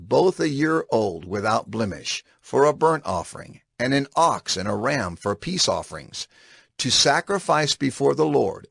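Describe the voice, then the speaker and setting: Authoritative biblical leader, creaky gravelly voice, animated intonation, solemn, declamatory, dramatized scripture. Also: male voice, medium pitch, no echo, heavily acted. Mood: instructing.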